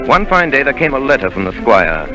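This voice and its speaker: A man playing a grown man who looks back on his boyhood adventure, narrating an old radio play in a reflective, storytelling way. Orchestral music sits clearly beneath his mid-pitched voice. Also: big pitch swings, brisk, lightly acted. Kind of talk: 1930s radio drama narration.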